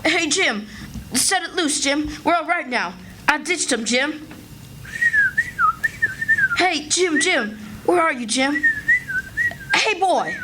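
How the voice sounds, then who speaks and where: Woman as a high-voiced country boy. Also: expressive, slow, long pauses. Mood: urgent. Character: quick-witted, anxious backwoods boy. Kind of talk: old-time radio theatre acting. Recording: noisy live stage recording.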